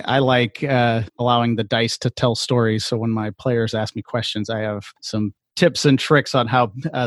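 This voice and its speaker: A man's voice: mid-range voice, no room sound. A man with a mid-range voice hosting a podcast in a casual, conversational style. He comes across as affable and agreeable, recorded very dry with no room sound. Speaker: podcast host. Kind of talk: casual interview chat.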